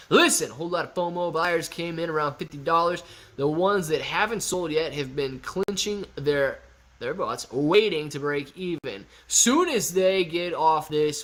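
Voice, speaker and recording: A man in his thirties hosting a live stock market Q&A, reading viewer chat with playful, animated banter. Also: a little high. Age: 30s.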